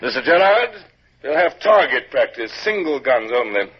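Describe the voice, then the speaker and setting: A man playing a grave British naval captain in a vintage radio drama, giving crisp formal orders and troubled, reflective narration. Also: mid-range voice, big pitch swings, normal speed. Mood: commanding. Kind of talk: 1950s radio drama acting. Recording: dull, noisy vintage 1950s radio recording.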